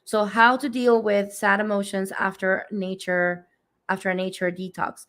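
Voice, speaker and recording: A woman speaking softly and slowly, her voice a little hoarse and raspy. She gives a spiritual reading over a livestream, pausing often with gentle fillers. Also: medium pitch, flat delivery. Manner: soft, unhurried, many fillers. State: slightly hoarse from allergies. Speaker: energy healer giving readings. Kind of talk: live-streamed spiritual reading. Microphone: phone or webcam on a livestream.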